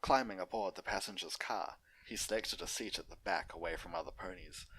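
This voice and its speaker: A man's voice: sits low, a little fast, bright, forceful tone, a little hiss or hum. A man narrating and hosting an unedited fan fiction story reading.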